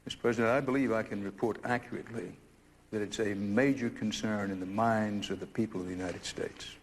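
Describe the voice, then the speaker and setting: Low-voiced guy asking formal direct questions, long room echo. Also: steady tone, fairly quick, slight background noise. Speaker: interviewer.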